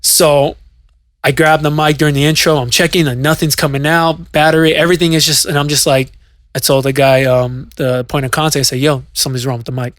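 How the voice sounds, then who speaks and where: A man's voice: on the high side, little pitch movement, fairly quick, fairly bright tone, no room sound, clean studio sound. A male DJ co-hosting a podcast, telling a story at length in casual chat, with many fillers and restarts. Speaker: podcast co-host and DJ.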